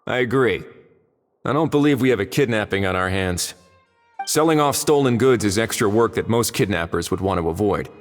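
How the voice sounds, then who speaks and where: An actor playing a calm, methodical investigator in a video game, speaking in a measured, polite, analytical way. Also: male voice, lowish voice, in a large hall, soft background music, close to an everyday voice. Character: calm, methodical male investigator reasoning aloud.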